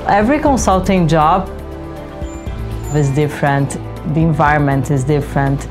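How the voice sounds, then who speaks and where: Brief: low-pitched woman from Brazil, consultant in interview, enthusiastic, music under her. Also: moderate pace. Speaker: senior project manager. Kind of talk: documentary interview.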